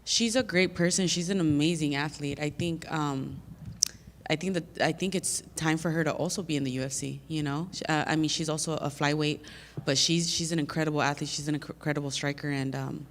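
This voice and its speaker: A woman's voice: deep voice, fairly even intonation, moderate pace, long reverb tail, slight background noise. An American woman fighter answering reporters' questions at a media day, earnest and plainspoken, often repeating words as she searches for them. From United States. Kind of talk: press conference answers.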